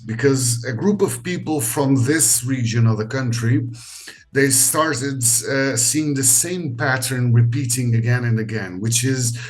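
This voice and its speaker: A middle-aged man from Portugal speaking as an interview guest, measured and pausing often with fillers, over a video call. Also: medium pitch, low-quality recording. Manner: measured, with frequent pauses and fillers. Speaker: university educator, interview guest. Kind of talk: conversational interview.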